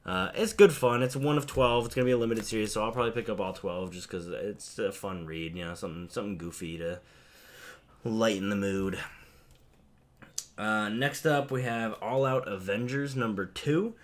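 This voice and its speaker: A man hosting a casual comic haul video, a bit hoarse and low energy from a head cold, rambling with lots of fillers. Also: moderate pace. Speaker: comic book channel host.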